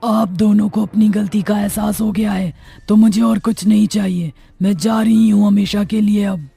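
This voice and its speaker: Gravelly, vengeful ghost witch. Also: medium pitch, fairly even intonation, moderate pace, dark tone, soft background music, exaggerated voice. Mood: hurt, stern. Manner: menacing, accusing, dramatic. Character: vengeful ghost witch of a wronged young wife. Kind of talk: Hindi cartoon horror story acting.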